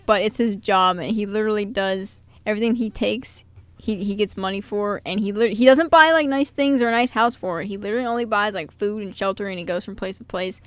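A woman's voice: mid-range voice, dry recording. A giggly young American woman hosting a college radio show in casual banter. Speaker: college radio show host and writer-actor. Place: radio studio.

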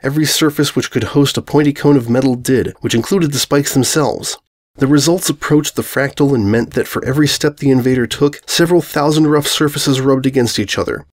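A man's voice: medium pitch, brisk. A man reading scripted comic fan fiction as narrator, in a dry, wry manner. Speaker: story narrator.

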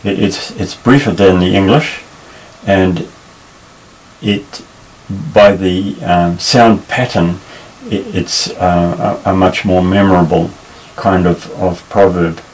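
Slow, low-voiced man teaching Hebrew. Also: fairly even intonation, low-quality recording. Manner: patient, hesitant, many fillers and restarts. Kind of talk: unscripted tabletop lesson, explaining while pointing. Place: indoors at a table.

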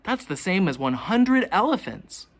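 A teacher reading a children's picture book aloud, with expressive, deliberate questions pitched to young children. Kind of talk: children's storybook read-aloud.

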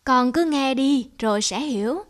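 A woman playing a patient, caring young mother in a cartoon, soothing and gently instructive. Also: sits high, moderate pace, close to an everyday voice. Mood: gently earnest. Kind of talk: cartoon voice acting.

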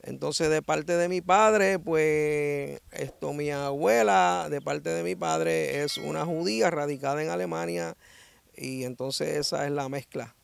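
A man's voice: on the high side, a little slow, gravelly, a little hiss or hum. A man in his sixties with a Puerto Rican accent, telling his story with energy and acting out the voices of people he quotes, outdoors on a handheld mic. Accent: Puerto Rican Spanish. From Dominican Republic. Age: 60s. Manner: lively storyteller who acts out quoted voices. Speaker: guest. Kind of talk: animated testimony. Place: outdoors under a tree in a grassy field. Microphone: handheld mic held by the interviewer.

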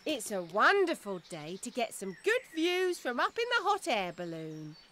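Bright, swooping cartoon rabbit lady. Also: on the high side, wildly swooping pitch, moderate pace, slight background noise, full character voice. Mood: cheerful. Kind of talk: children's cartoon game acting.

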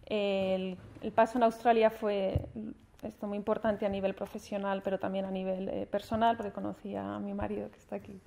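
A woman in her forties from Spain gives a formal alumni speech into a table microphone in a large hall. Her voice is dark, low-toned and gravelly, with a narrow, even pitch. She talks earnestly, often restarting her phrases. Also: fairly quick. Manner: earnest, with frequent restarts and fillers; gestures with her hands as she speaks. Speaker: honored alumna speaker. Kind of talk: formal speech at a university ceremony.

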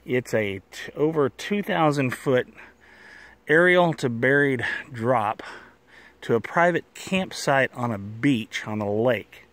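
A man telling stories in a casual, folksy, unhurried vlog style with a wry manner, recorded outdoors on a handheld phone. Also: mid-range voice, expressive, a bit bright. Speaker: vlogger, cable installer. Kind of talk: casual first-person storytelling vlog. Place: outdoors on a wooded trail.

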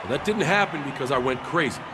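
An actor playing an earnest, defiant wrestling hero, giving an emphatic promo to an arena. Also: male voice, mid-range voice, expressive, fast, natural voice. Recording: loud arena crowd noise.

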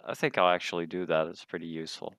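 Male gamer, deep bass register, casual player talk, recorded dry. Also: fairly even intonation, fast, fairly bright tone, dry recording. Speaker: tabletop game player. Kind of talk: casual game chat.

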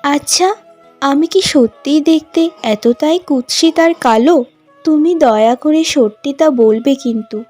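High-pitched, dutiful young bride played by a woman. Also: unhurried, darkish tone. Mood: affectionate. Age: young adult. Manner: pleading, deferential. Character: patient, dutiful young village bride, sweet and pleading. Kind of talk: cartoon voice acting. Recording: music behind the voice.

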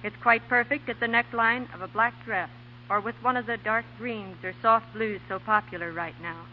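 A woman's voice: fairly high pitch, steady tone, normal speed. A woman presenting as a fashion editor on 1940s radio, reading a script formally and precisely. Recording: muffled, noisy 1940 radio broadcast.

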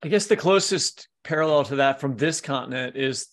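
A man hosting a podcast interview, asking loose, hesitant questions padded with fillers. His mid-range voice has a gravelly, creaky edge. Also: medium pitch, brisk. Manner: casual, hesitant, with many fillers. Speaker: podcast co-host.

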